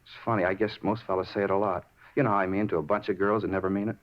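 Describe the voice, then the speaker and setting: A muffled 1950s radio recording of a man acting a rambling murder suspect. His lowish voice moves quickly as he insistently justifies himself, circling small details and repeating his points like someone unhinged. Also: little pitch movement, close to an everyday voice. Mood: earnest.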